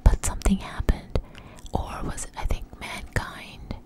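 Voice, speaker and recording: A woman telling a story in a breathy, close-up ASMR whisper, halting and unhurried, with frequent pauses. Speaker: ASMR video creator. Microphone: close microphone.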